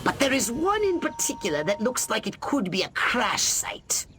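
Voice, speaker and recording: An actor playing an imperious, scornful alien commander in a video game, with pompous, theatrical delivery. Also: male voice, very high voice, wildly swooping pitch, normal speed, pushed and bright, exaggerated voice. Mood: commanding, urgent. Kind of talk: video game cutscene acting. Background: music.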